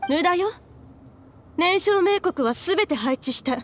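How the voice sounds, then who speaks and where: A woman's voice: extremely high pitch, expressive, takes it slowly, full character voice. A woman playing a grieving, obsessive mother in a Japanese anime game, speaking with fervent emotion. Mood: grim resolve. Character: grieving, obsessive mother desperate to revive her daughter.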